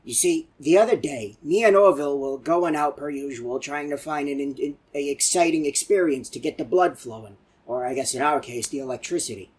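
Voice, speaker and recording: Man doing a husky, rambling old animatronic. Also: a little high, a little fast, hardly any pauses, a little mellow. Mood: excited reminiscing. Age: older. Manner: rambling, folksy, digressive. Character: chatty, rambling, excitable elderly male animatronic storyteller. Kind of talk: rambling game character monologue.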